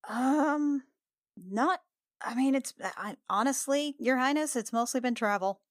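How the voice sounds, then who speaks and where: A woman playing a tabletop roleplaying game, speaking casually and haltingly as she improvises dialogue. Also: high voice, no room sound, clean studio sound.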